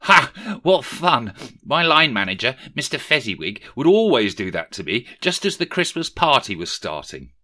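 A man doing a shrill, gushing miser politician. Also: very animated, moderate pace, few pauses, bright, forceful tone. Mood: excitable, whiny. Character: pompous money-obsessed male politician miser, excitable.

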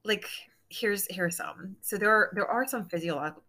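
A woman co-hosting a podcast in casual chat, direct and straightforward, with measured delivery. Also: medium pitch, sharp tone. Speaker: podcast co-host.

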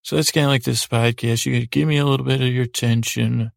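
Gravelly, hesitant man, rambling softly along. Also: medium pitch, fairly even intonation, quick pace, no room sound, clean studio sound. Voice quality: gravelly, creaky. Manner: hesitant, meandering, self-deprecating, stammering repeats. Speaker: podcast host. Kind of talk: rambling, informal bedtime monologue.

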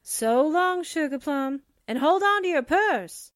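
An actress playing a sassy, suspicious, wisecracking secretary with a blunt, slangy manner in a 1940s-style radio drama. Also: female voice, high-pitched, animated, a little slow, slight background noise. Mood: brisk teasing.

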